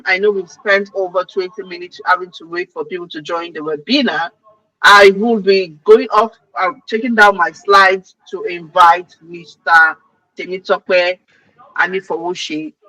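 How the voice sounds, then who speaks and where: A woman's voice: mid-range voice, bright, forceful tone, no room sound, low-quality recording. A woman informally hosting a webinar over a video call. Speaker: webinar host. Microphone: online video call microphone.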